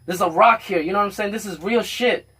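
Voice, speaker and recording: A man's voice: sits high, fast, bright, forceful tone, breathy edge, recorded from a distance. A man from Puerto Rico hosting a podcast, arguing bluntly with plenty of swearing and talking over his guest. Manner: blunt and profane, interrupts, talks over the guest. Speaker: podcast host. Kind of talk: heated casual argument. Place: home.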